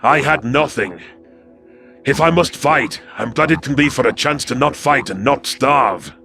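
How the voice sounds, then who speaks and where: A man voicing a scrawny, wary alien predator soldier in an audio drama, gravelly, deferential yet cold and terse. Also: medium pitch, unhurried, sharp tone, voice pushed up. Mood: earnest, deferential. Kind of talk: audio drama character acting. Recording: music behind the voice.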